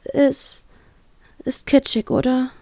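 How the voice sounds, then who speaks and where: Woman acting a high-pitched, flustered young woman. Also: flat delivery, slow, vocal fry, mellow, no echo, exaggerated voice. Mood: embarrassed, meek. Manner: stammering, embarrassed, whiny. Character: shy, tearful, easily flustered young woman in love.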